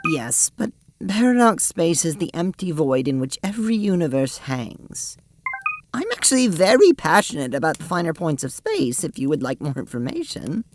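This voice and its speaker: A woman's voice: low voice, big pitch swings, moderate pace. A woman playing a prim, fatalistic advisor who speaks formally and precisely in an audio drama. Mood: resigned, earnest. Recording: faintly noisy mic with clicks.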